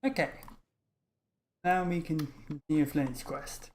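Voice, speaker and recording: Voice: mid-range voice, steady tone, takes it slowly. A game streamer giving casual livestream commentary, muttering offhand reactions while playing.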